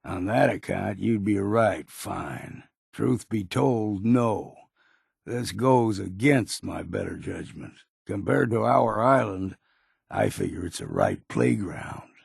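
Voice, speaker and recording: A cynical old hunter from a video game. His low voice is thick with gravel and husk, and he talks slowly in curt, folksy, grudging phrases. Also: expressive, dry recording, studio quality, exaggerated voice. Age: older. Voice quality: gravelly, husky. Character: gruff, cynical old wilderness guide and hunter. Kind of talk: video game character dialogue.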